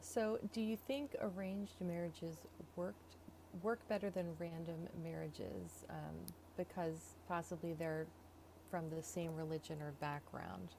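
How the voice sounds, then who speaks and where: A woman moderating a casual event, reading out audience questions in a brisk, businesslike way. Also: low voice, animated, gravelly, mellow, slight background noise, poor audio.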